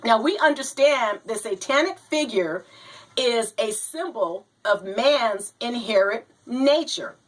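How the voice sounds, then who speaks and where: A woman hosting a video show, slow and halting, a little hoarse from a cold, talking to viewers and reading aloud. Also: fairly high pitch, animated, rough recording. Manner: slow, halting, says "you know" and "now". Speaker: host.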